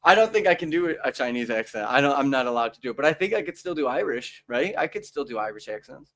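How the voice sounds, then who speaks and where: A man's voice: mid-range voice, animated, fast talker, fairly bright tone. A young man hosting a casual podcast chat, joking and playful, often stumbling and restarting his words. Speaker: podcast host.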